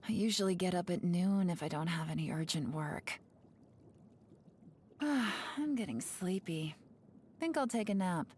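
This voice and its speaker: A woman voicing a sly, laid-back young woman spy for a video game, speaking in a smooth, teasing, unhurried way. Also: on the low side, expressive, a little mellow, a little hiss or hum, close to an everyday voice. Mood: lazy, drowsy. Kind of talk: video game character voice lines.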